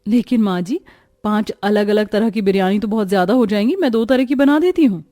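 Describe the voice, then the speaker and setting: A woman's voice: mid-range voice, brisk, dark tone, faint music behind, natural voice. A woman voicing an earnest, often complaining young daughter-in-law in a Hindi cartoon story, dutiful but overworked. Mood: hesitant.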